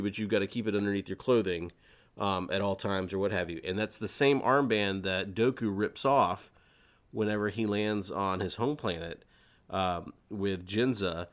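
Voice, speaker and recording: A man with a fairly low voice co-hosts a casual fan podcast. He explains stories in an animated manner, talking conversationally as he goes. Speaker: podcast host. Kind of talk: casual fan discussion, retelling a story.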